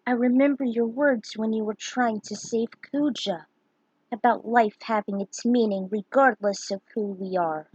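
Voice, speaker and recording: A serene goddess, read by a woman, speaks solemnly and gently in a storybook tale. The voice is fairly high with a breathy husk. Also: a little slow, no echo, highs cut off.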